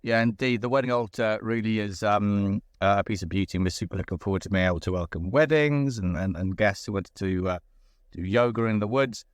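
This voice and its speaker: A man's voice: on the low side, a little fast, smooth, dry recording. A man asking questions as an interviewer in a casual, conversational style.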